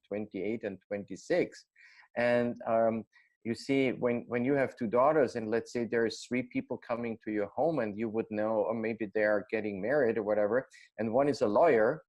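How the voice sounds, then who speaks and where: Austrian-accented man, halting speech. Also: mid-range voice, a little mellow. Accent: Austrian German. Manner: hesitant, many fillers and restarts. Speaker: guest. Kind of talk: casual interview.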